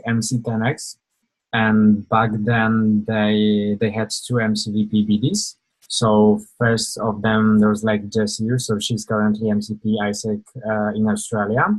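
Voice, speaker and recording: A man's voice: fairly low pitch, slightly rough, poor audio. A young man from Poland, giving an informal webinar presentation over a video call, hesitant with many fillers and restarts.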